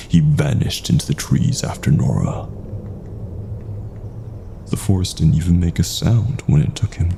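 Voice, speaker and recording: A man narrating a scripted horror story in a dramatic, suspenseful way with drawn-out pauses. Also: a bass voice, vocal fry, dark tone, slight background noise.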